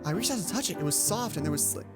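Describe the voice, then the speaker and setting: Animated woman reading a game aloud. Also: mid-range voice, racing, loud music behind the voice. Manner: animated, acts out dialogue. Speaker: let's play host. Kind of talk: reading a visual novel aloud with casual commentary.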